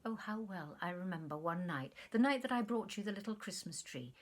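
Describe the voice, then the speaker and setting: A woman's voice: medium pitch, lively intonation, fairly quick, close to an everyday voice. A woman voicing a self-absorbed, wistful man who reminisces to a former lover, effusive and earnest, in a short story reading. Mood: nostalgic.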